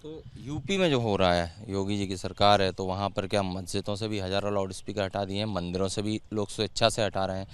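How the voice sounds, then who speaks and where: A man's voice: low voice, brisk, hardly any pauses, smooth. A male reporter asks pointed, pressing questions in a news interview.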